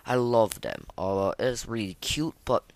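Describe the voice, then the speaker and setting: A podcast host giving casual gaming commentary and ratings in a halting, repetitive way, full of slangy enthusiasm. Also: mid-range voice, very animated, gravelly, a little mellow, no room sound.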